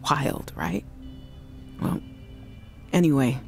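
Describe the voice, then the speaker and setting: An actress playing a worried woman scientist who leaves halting, apologetic voice messages for her sister in a video game. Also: low-pitched, gravelly, darkish tone, soft background music, heavily acted. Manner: anxious, apologetic, halting restarts. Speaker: game character.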